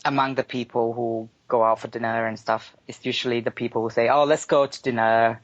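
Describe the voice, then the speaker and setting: A man's voice: mid-range voice, level tone, brisk. A man from Thailand, giving casual, hesitant interview answers as a podcast guest, thinking aloud as he goes. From Bangkok, Thailand. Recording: dull lo-fi podcast audio.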